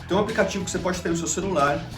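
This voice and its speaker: A Brazilian man hosts a vlog in a chatty, conversational way, full of little fillers. He talks quickly, and his voice sits a bit high. He is recorded from a little way off in an echoey room, with faint music under him. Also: recorded from a distance. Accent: Brazilian Portuguese. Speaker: YouTube channel host. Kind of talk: casual talk to camera. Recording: roomy echo, faint music behind.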